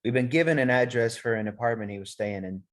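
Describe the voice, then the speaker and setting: A woman playing a formal, steady police detective in an amateur radio play, procedural and deadpan. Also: very deep voice, fast talker, heavy background noise.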